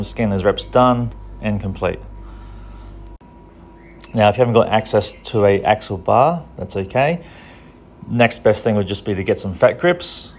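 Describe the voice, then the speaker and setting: A young man from a strongman gym, giving a relaxed, practical training tutorial in plain everyday words. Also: lowish voice, a little hiss or hum. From Australia. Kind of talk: casual training tutorial voice-over.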